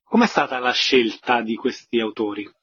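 A man's voice: medium pitch, normal speed, a bit bright, breathy edge, highs cut off. A man presenting arts listings and interviewing as a radio host, in a scripted announcing style.

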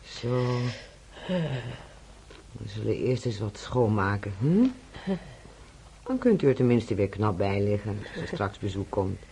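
A calm, soothing head nurse. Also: female voice, a bass voice, a little slow, long pauses, slight background noise, exaggerated voice. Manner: calm, soothing bedside talk. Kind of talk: radio play acting.

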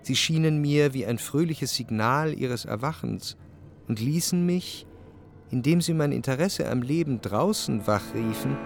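A man playing a reflective, jealous lover who narrates his memories in measured literary prose for a radio drama. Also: medium pitch, vocal fry, slight background noise. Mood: contemplative remembering.

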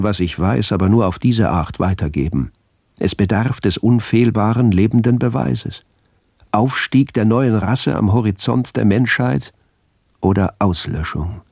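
An actor playing a fanatical, pompous doctor declaiming his diary in a German radio drama, solemn and deliberate, with a husky, creaky voice. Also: lowish voice, a little mellow, dry recording, clean studio sound, heavily acted. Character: fanatical, pompous male doctor obsessed with breeding.

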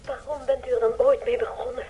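A woman's voice: high voice, level tone, fast, husky, narrow, tinny audio. An actress playing a hunted man's anxious daughter in a radio play, guarded and probing with her questions. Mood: tense, probing. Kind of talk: Dutch radio play acting.

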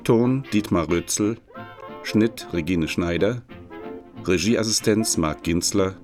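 A man's voice: low voice, takes it slowly. A man reading scripted credits as a radio announcer. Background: music with brass.

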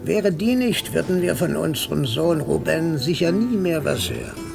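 Gravelly, bitter old father. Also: on the high side, expressive, normal speed, music in the background. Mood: lively, teasing. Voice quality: gravelly, creaky. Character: bitter old Mexican father, grumbling about his son. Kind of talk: German radio play acting.

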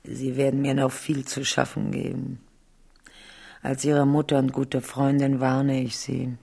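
An actress playing a scheming older queen mother in a German radio play, measured, condescending and falsely motherly. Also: extremely low pitch, steady tone, gravelly, mellow, slightly rough, exaggerated voice. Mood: quietly menacing.

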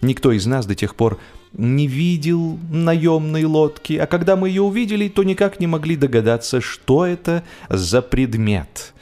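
A man narrating humorous literary prose in an expressive, measured storytelling manner with deliberate pauses. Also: a little high. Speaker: audiobook narrator. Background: music.

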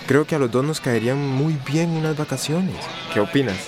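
An actor playing a playful, coaxing boyfriend in a radio soap, speaking conversationally. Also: male voice, mid-range voice, normal speed, over music, natural voice.

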